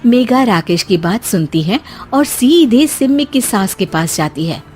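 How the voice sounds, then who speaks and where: A woman narrates a scripted family story. Her voice sits fairly high, with faint music behind it. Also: animated, a little slow, a little mellow.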